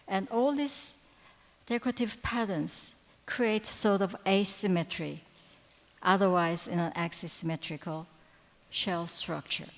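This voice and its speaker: A woman giving a lecture at a conference, pausing often with fillers and correcting herself. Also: fairly low pitch. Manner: hesitant, frequent fillers and self-corrections. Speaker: conference speaker. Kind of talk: lecture presentation. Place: large conference hall. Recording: reverberant hall sound.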